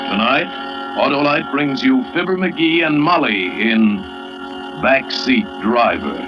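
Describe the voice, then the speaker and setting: An actor as a solemn, dramatic suspense-show announcer on an old 1940s radio recording. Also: male voice, medium pitch, animated, slow, lo-fi sound, loud music behind the voice.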